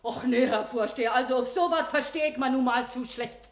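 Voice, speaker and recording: A fairly high-voiced working-class Berlin woman in a classic radio drama. She is chatty and folksy, with a mock-humble frankness that hides her cunning. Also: a little fast. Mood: mock-modest. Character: plain-spoken, sly working-class washerwoman feigning honest simplicity. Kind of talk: classic German radio play acting.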